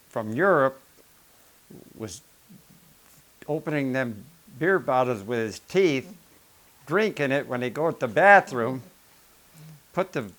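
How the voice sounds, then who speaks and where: A man's voice: medium pitch, fairly bright tone. An older man from the rural American Midwest, telling plain-spoken, rambling stories about his family and farm life. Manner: plain-spoken, folksy, rambling with self-corrections. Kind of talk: casual family storytelling.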